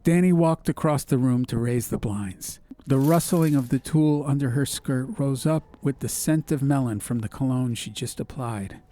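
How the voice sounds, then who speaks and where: A man's voice: mid-range voice, normal speed, few pauses, mellow. A man reading an atmospheric story as an audiobook narrator, in a scripted literary style.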